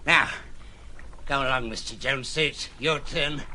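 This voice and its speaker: An actor playing a rough, excitable seaman in an audio drama, servile one moment and snarling the next. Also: mid-range voice, a little slow, noisy background, lightly acted. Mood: eager, servile.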